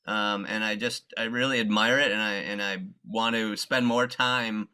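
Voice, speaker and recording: A man hosting an interview, speaking earnestly and haltingly with many restarts as he praises and questions his guest. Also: on the low side, steady tone, bright, forceful tone, clear, resonant tone. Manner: hesitant, full of fillers and restarts, earnest. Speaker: interviewer, facilitator.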